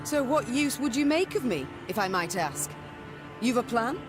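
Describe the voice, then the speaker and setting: A woman's voice: on the high side, very animated, moderate pace, music in the background. A woman voicing a proud, defiant middle-aged warrior queen in a fantasy video game, speaking formally and regally. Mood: resolute. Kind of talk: dramatic video game voice acting.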